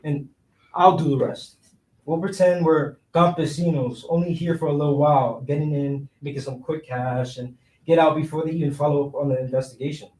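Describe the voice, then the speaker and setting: A man's voice: on the high side, fairly even intonation, fairly quick, a little mellow, lightly acted. An actor playing a scheming, broke young city man in a staged reading, talking in slangy, persuasive street phrasing. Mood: insistent, persuasive. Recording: roomy echo, distant microphone.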